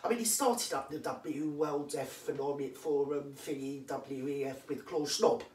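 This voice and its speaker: A vlogger with a London accent, rattling off comic celebrity gossip, answering their own questions and dropping into hushed asides. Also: unhurried. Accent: London English. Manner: drops to hushed asides, jumps to emphatic high yeses. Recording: echoey room, voice far from mic.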